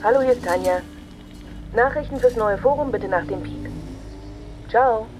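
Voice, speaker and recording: A woman's voice: a little high, normal speed. Radio drama bit part: casual woman's voice on an answering machine, music behind. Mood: breezy. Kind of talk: recorded answering machine message.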